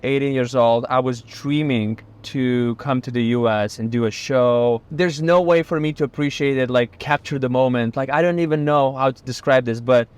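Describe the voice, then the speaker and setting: A man's voice: mid-range voice, normal speed, few pauses, a little mellow, smooth. A young man with a Lithuanian accent, a magician hosting a casual chat in a car. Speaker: magician and channel host.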